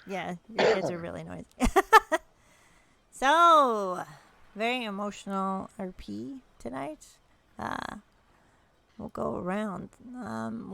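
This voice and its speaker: A woman acting as game master for a tabletop session, her voice gravelly with plenty of fry. She is relaxed and laughs easily, then slows down when she narrates a scene. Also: medium pitch. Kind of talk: running a tabletop game session over voice chat.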